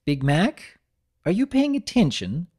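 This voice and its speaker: A man voicing a professional female nurse pony, gentle and formal, in a dramatic reading of a cartoon story. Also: on the high side, huge pitch swings, a little slow, husky, voice pushed up, exaggerated voice. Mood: polite.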